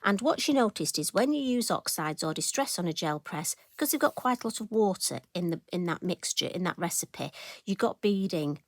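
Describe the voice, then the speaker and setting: A woman talks through her craft project in a casual, homely chat full of asides. Her voice sits a little low and sounds croaky. The recording is dry and close, with no room sound. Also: animated, brisk, no room sound. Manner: chatty, homely, rambling asides. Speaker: craft demonstrator.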